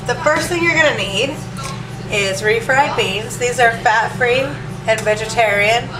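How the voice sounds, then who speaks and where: Home cook, woman, a bit higher voice, stuffy from allergies, casual and rambling; distant camera mic, roomy kitchen echo. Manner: chatty, relaxed, lots of ums and asides. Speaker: home cook showing a recipe. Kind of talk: casual cooking demo. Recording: echoey room, distant mic, hiss.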